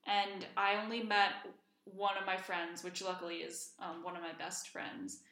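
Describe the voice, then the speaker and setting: Bright-voiced young woman in an echoey room. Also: mid-range voice, level tone, normal speed. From Iceland. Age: young adult. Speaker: podcast co-host. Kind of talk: casual chat. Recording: roomy, echoey sound.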